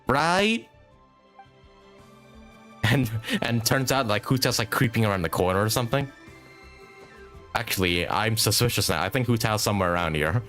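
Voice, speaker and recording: Casual streamer thinking out loud, giggly, voice swings around, faint game music behind. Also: medium pitch, expressive, normal speed, pauses often. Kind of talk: casual live gameplay commentary.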